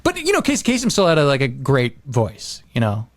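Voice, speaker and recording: A man's voice: medium pitch, huge pitch swings, fairly quick. A man talking casually and agreeably as a podcast speaker. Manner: agreeable, informal. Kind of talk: casual chat.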